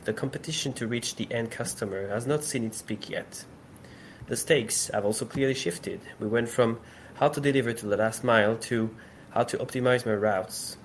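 A man hosting a podcast, reading a scripted introduction in an even, measured way. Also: medium pitch, a little hiss or hum. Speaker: podcast host. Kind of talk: scripted intro, then casual chat.

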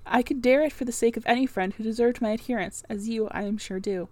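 A woman's voice: on the high side, quick pace, a little mellow, no echo, close to an everyday voice. A woman voicing a devoted, demure young governess with polite, old-fashioned formal speech in a storybook. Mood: earnest, devoted.

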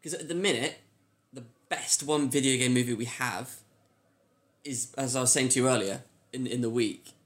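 A man's voice: mid-range voice, normal speed. Male co-host in casual conversation. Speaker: podcast co-host. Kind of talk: casual chat.

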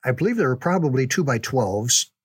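An older man with a mid-pitched voice tells a story in a casual, folksy manner. He takes his time and pauses to stress details. The recording is dry, with very little room sound. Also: expressive, husky, dry recording. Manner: unhurried, folksy, halting with pauses for emphasis, chuckling. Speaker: podcast storyteller, reminiscing father.

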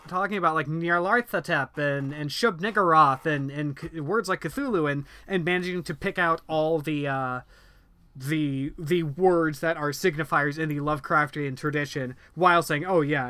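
A man co-hosting a casual podcast about a book, animated and hesitant, full of ums and restarts, reading passages aloud quickly. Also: a little high, smooth, dry recording. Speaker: podcast co-host discussing a book. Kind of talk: casual conversational chat.

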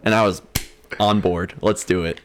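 A man's voice: low-pitched, fairly even intonation, normal speed, slight background noise. A young man, a podcast guest, telling rambling stories in casual chat and laughing often. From Cincinnati area, USA. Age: young adult.

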